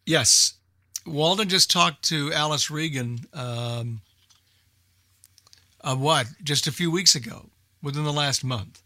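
A man hosting a casual, unscripted radio talk show, speaking in a halting way and repeating words as he thinks. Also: on the high side, sharp tone, no echo.

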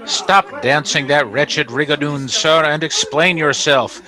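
An actor playing a pompous, indignant store proprietor in 1940s radio comedy, speaking formally and exactingly. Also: male voice, a little high, steady tone, a little slow, crowd noise, lightly acted. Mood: exasperated. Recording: vintage radio broadcast.

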